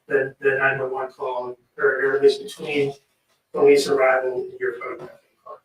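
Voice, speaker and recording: A man formally questioning a witness in a halting, precise way. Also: medium pitch, monotone. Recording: very echoey playback, distant mic.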